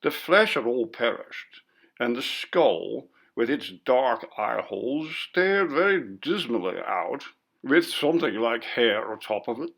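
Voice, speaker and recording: A man's voice: medium pitch, very animated, unhurried, creaky, a bit bright, heavily acted. A man voicing a pompous, curt college bursar in a ghost story reading, with a disapproving manner. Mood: grave recounting.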